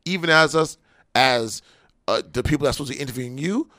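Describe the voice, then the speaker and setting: Fast-talking American man, casual radio host. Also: mid-range voice, sharp tone, no room sound, clean studio sound. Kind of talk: casual hip hop interview chat.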